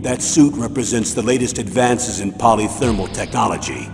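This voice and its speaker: A stern older commander delivers a game mission briefing in a lowish voice, grave and deliberate. Long reverb surrounds him, with music clearly underneath. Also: male voice. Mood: businesslike. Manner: measured, authoritative, grave, deliberate.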